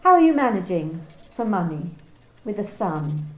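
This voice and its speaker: A woman's voice: medium pitch, very animated, moderate pace, a little mellow, reverberant, close to an everyday voice. A woman playing a cool, condescending company manager in an amateur radio play reading. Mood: cold. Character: cold corporate woman manager, clipped and controlling.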